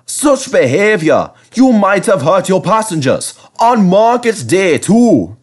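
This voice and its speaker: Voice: mid-range voice, big pitch swings, slow pace, pitched above the performer's own voice. A man voicing a proud, stern old steam engine from a storybook, speaking with effort. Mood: stern, cross. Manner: scolding firmly, wheezing with effort.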